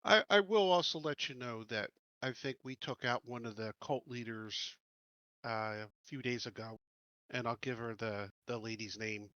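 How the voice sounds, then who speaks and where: A man playing a tabletop roleplaying game, speaking casually in character in a measured, halting, diplomatic way. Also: mid-range voice, fairly bright tone, no echo, dull audio.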